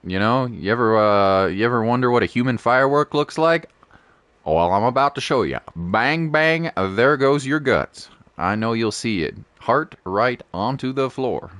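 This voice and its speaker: A man's voice: mid-range voice, lively intonation, moderate pace, fairly bright tone, dry recording. A man giving casual live game commentary as a streamer, with a slangy, joking, laid-back way of talking.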